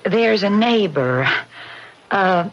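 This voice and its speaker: A woman's voice: medium pitch, lively intonation, very slow, slight background noise. A woman playing a troubled grown daughter in a radio mystery drama, with a hesitant, faltering manner. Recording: muffled vintage radio drama recording.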